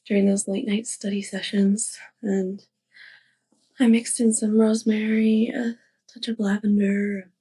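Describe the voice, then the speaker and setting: A woman playing a young, clumsy, affectionate witch neighbor in a soft, hesitant ASMR roleplay monologue, speaking straight to the listener. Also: medium pitch, slightly rough, rough recording. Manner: hesitant, halting, giggly.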